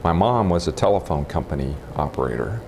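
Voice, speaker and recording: Very deep-voiced American man in his forties. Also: animated, brisk, creaky, a little mellow. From Texas, United States. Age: 40s. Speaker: interviewee, nuclear physicist. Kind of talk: informal oral history interview, recollecting. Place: room in a house, indoors. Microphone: lapel. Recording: clip-on mic with faint background noise.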